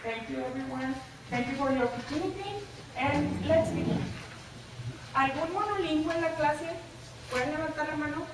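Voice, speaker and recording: Northern Mexican-accented woman presenter, high voice, engaging; echoey room, distant mic. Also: normal speed, crowd noise, background noise. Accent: northern Mexican Spanish. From US–Mexico border, Laredo. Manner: engaging, asks the audience questions, tag "¿verdad?". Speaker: presenter at a teacher workshop. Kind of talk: interactive talk to teachers. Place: lecture room.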